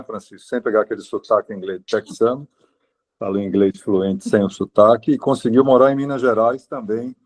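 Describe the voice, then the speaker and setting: A man introducing a guest lecturer over a video call. His voice is low and mellow. He speaks in halting phrases and often restarts mid-sentence.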